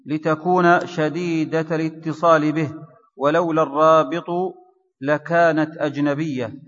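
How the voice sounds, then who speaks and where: A man reading a grammar text aloud for his teacher in a steady, measured recitation. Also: on the high side. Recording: distant mic in a reverberant hall, dull lo-fi sound.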